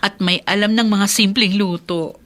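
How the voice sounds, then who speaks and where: A woman playing a talkative, sharp-edged housewife in a Filipino radio drama. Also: medium pitch, fairly even intonation, normal speed, lightly acted. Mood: chatty.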